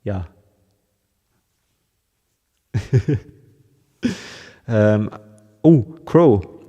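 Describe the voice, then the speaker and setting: A man with a German accent chatting casually with his livestream viewers, chuckling often and pausing with lots of fillers. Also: fairly low pitch, warm tone, in a large hall. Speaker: musician hosting a livestream. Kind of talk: casual chat with stream viewers.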